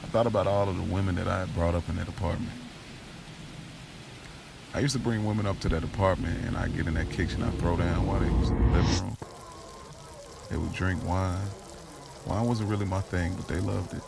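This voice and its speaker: An American man narrating an audio drama in the first person, in a gravelly voice and casual, slangy street vernacular. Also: low voice, fairly even intonation, brisk, pauses often, noisy background. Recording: music bed under the voice. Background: music.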